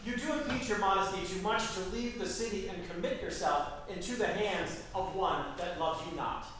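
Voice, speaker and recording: A man playing a harsh, exasperated young man in a forceful, theatrical staged reading of Shakespearean verse. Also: high voice, moderate pace. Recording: echoey room from far off, faint noise.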